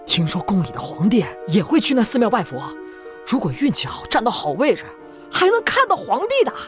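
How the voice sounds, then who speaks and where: A curious young female disciple, chatty and extremely animated. Her higher voice leaps about over faint music. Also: brisk. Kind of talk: audio drama acting.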